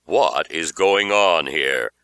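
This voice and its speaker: A man's voice: extremely low pitch, lively intonation, bright, forceful tone, dry recording, pitched below the performer's own voice, exaggerated voice. A man voicing a stern, exasperated mother in an audiobook, scolding and demanding. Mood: angry. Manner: slow, demanding. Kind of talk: acted audiobook dialogue.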